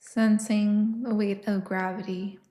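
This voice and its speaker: A woman leading a guided meditation with soft, soothing, unhurried instruction and long pauses between phrases. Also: medium pitch, little pitch movement, roomy sound.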